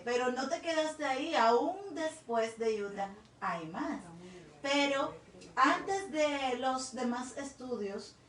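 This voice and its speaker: A woman hosting an interview, recapping the guest's story and steering it forward. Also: high-pitched. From Dominican Republic. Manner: summarizing, guiding the story forward. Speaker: interview host. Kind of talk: conversational interviewing. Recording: echoey room, distant mic.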